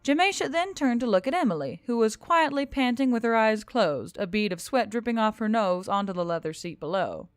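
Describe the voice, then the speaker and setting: A woman's voice: a little high, expressive, brisk, few pauses, smooth, no echo, clean studio sound. A woman narrating a scripted story as host of an audio fiction channel.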